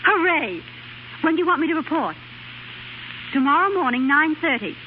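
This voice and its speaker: A woman's voice: sits high, expressive, normal speed. A woman acting a determined, eager young wife in a 1930s radio drama. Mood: elated. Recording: tinny, hissy 1930s radio recording.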